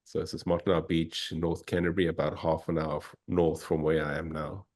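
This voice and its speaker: A man's voice: very deep voice, level tone, fairly quick, darkish tone. A South African man, a fossil hunter, giving an easygoing, enthusiastic slide talk over a video call. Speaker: fossil hunter and guest speaker. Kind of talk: informal slide presentation.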